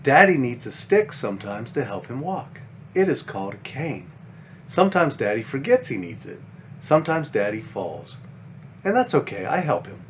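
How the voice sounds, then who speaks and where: Man reading as an earnest little bunny girl, lively pitch. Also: normal speed, slight background noise, natural voice. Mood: earnest, caring. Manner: simple, earnest, explaining to a younger child. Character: five-year-old big sister bunny, earnest, caring, eager helper. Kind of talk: children's picture book read aloud.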